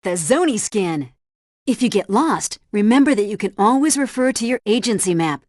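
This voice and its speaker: A woman's voice: medium pitch, lively intonation, moderate pace, a little mellow, dry recording, clean studio sound, close to an everyday voice. A woman voicing a helpful female helpdesk guide in a video game, giving scripted tutorial instructions and mission briefings with precise diction. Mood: instructive.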